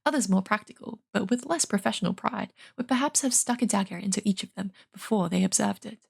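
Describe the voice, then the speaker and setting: A woman with a husky voice reading a fantasy novel aloud as dramatic storybook narration. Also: medium pitch, brisk. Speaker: streamer reading an audiobook.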